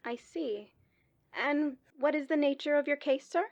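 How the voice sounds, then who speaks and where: Radio drama role, a woman acting: formal, patient 1940s hospital switchboard lady, fairly high voice, unflustered and courteous. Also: a little slow, a little mellow. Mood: polite, brisk.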